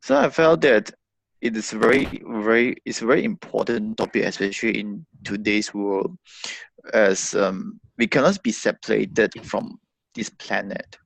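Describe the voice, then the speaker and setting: A man's voice: lowish voice, expressive, measured pace, gravelly, no echo, low-quality recording. A man giving his opinion in a webinar, hesitant and searching for words. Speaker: webinar participant.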